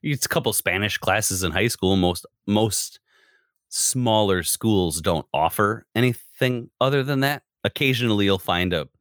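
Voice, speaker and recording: A man's voice: lowish voice, unhurried, a bit bright, no room sound, studio quality. An American man in casual conversation, talking in a rambling way and often breaking off mid-sentence. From United States. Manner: rambling, self-interrupting. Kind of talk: casual chat.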